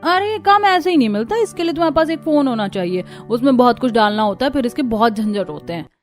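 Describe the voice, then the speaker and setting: A woman's voice: fairly high pitch, animated, fast, faint music behind, natural voice. A woman voicing a selfish, evasive housewife neighbour in a cartoon story, emphatic and dismissive.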